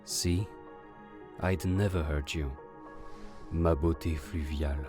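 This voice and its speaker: Guy doing a really deep smooth con man gentleman made of fire, romantic audio drama, french pet names, bit of music. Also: steady tone, slow. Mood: tender, reassuring. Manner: gentlemanly, smooth-talking, sprinkles French endearments. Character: suave silver-tongued male con artist made of living fire.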